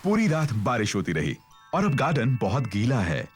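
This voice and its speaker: A man's voice: mid-range voice, wildly swooping pitch, moderate pace, faint music behind. A man narrating a children's cartoon in a scripted storytelling manner.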